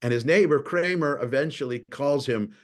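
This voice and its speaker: A man's voice: mid-range voice, normal speed. A male professor giving a lecture in a thoughtful way, often repeating and restarting words.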